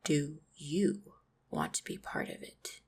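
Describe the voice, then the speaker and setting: A woman playing a gentle, devoted orc hunter in a roleplay audio drama, speaking tenderly and reassuringly with a husky, creaky voice. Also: sits low, big pitch swings, slow, full character voice. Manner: tender, reassuring, softly spoken. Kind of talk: roleplay audio drama, intimate monologue to the listener.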